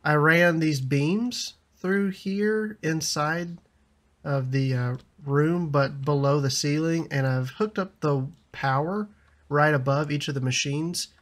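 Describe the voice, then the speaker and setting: A man in his thirties with a slightly raspy voice from a lingering cough, chatting casually over a building game and laughing often. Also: a little high, slow. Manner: chatty, laughs often, frequent ums and restarts. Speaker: gaming YouTuber. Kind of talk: casual gameplay commentary.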